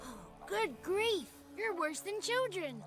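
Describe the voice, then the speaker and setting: Casting: a childlike male forest sprite, very high voice, unhurried, cheeky, for a fantasy game. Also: very animated, exaggerated voice. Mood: resigned, exasperated. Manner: chatty, rustic old-fashioned turns of phrase. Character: Johnny, small chatty male godling, childlike, cheeky. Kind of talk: video game character acting.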